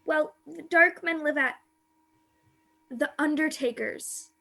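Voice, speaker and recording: A woman's voice: high voice, slow, creaky, pushed and bright, full character voice. A woman acting a timid, sorrowful young captive maid in a radio play, plaintive and naive, recorded over a video call. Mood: hushed horror.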